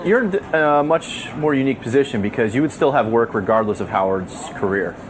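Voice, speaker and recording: A man's voice: medium pitch, lively intonation, brisk. A man interviewing people casually, needling them in a teasing way. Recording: busy noisy room with chatter.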